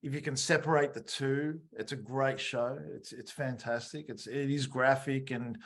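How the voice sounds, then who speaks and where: A man's voice: medium pitch, steady tone, normal speed, a bit bright. A man hosting an interview in a casual, conversational style. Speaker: podcast interview host.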